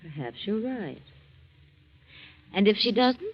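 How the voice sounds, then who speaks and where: A woman's voice: mid-range voice, big pitch swings, slow pace. An actress playing a middle-aged wife and mother, wary and scheming, in a 1940s radio drama. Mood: wary, probing. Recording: dull 1940s radio broadcast.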